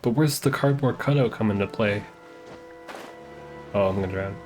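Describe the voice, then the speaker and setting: A man's voice: fairly low pitch, normal speed, a little mellow. A man giving casual, slangy live commentary as he plays a game, offhand in his reactions. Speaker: game streamer. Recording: game music behind the voice.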